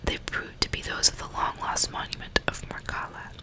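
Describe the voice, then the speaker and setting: Hushed, breathy whisper from a woman. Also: moderate pace. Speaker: ASMR host reading a novel aloud. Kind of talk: whispered ASMR audiobook reading with casual asides.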